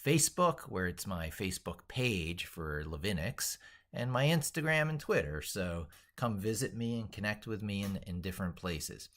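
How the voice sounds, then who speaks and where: Man, deep voice, casual livestream chat, rambling, unscripted, thinking aloud. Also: lively intonation, normal speed, a bit bright. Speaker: livestream host.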